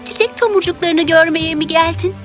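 A woman's voice: extremely high pitch, steady tone, moderate pace, a bit bright. A woman playing a friendly, vain little winged insect, proud and chatty, in a children's audio story. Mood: curious. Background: music.

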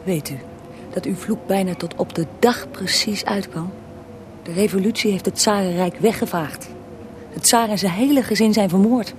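A woman's voice: mid-range voice, normal speed, slight background noise, close to an everyday voice. A woman playing a knowing, composed interviewer in a scripted radio drama, speaking matter-of-factly. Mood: attentive. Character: female interviewer questioning a dead historical man, knowing, composed. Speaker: interviewer and narrator.

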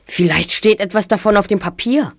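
A woman's voice: medium pitch, normal speed, natural voice. A woman playing a confident, polite teenage boy detective in an audio drama, composed and articulate. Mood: curious, polite. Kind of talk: German audio drama acting.

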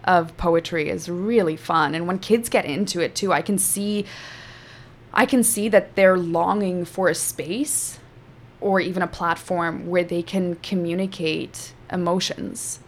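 A woman whose first language is Afrikaans, a spoken word poet and teacher, performing a poem with slow dramatic delivery and chatting about her craft. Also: lowish voice, slight background noise. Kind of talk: poetry performance and conversation.

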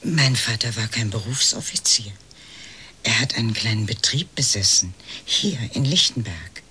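An actress playing a polite, hesitant elderly lady, a detective's client in a radio crime drama, speaking in measured, breathy phrases. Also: extremely low pitch, expressive, bright, forceful tone, a little hiss or hum, heavily acted. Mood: hesitant, explanatory. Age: 60s or older.

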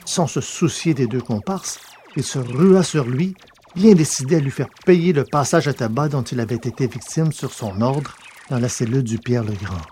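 A man's voice: mid-range voice, moderate pace, warm tone, a little hiss or hum. A man narrating a science-fiction adventure novel as a scripted audiobook reading.

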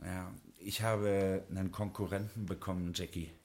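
An actor playing a smooth-talking Prohibition gangster boss in a 1950s radio crime play, persuasive and jovial. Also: male voice, deep voice, fairly even intonation, moderate pace, natural voice.

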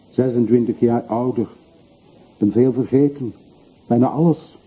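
Radio play role: wistful wealthy middle-aged man male actor, lowish voice, brooding and confessional, phone-thin hissy recording. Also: narrow, tinny audio, natural voice. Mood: brooding, regretful. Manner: brooding, confessional, short clipped sentences.

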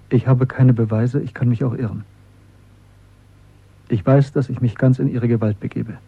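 An actor playing an earnest, cautious male clerk in a radio drama, with a husky voice and a reserved, correct way of speaking. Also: medium pitch, no echo, highs cut off. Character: earnest, cautious low-ranking party clerk, secretly rebellious man.

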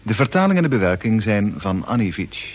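A man reading scripted closing credits for a radio drama as announcer, in a formal, measured manner. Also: on the low side, big pitch swings, dull audio. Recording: music behind the announcement.

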